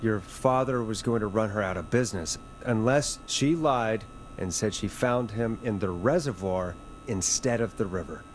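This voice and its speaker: An earnest radio DJ acted by a man in a video game drama. His voice sits fairly low, and he questions steadily and probingly, with faint background noise. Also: moderate pace, close to an everyday voice. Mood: deductive. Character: earnest, probing male late-night radio DJ. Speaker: radio host.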